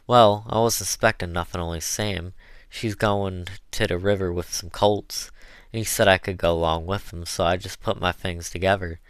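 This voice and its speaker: A man's voice: low-pitched, a bit bright, clear, resonant tone, no echo. A man voicing an eager, good-humored woman, an enslaved cook, in folksy dialect for an audiobook. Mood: earnest. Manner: folksy dialect with mispronounced words. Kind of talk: audiobook character voice.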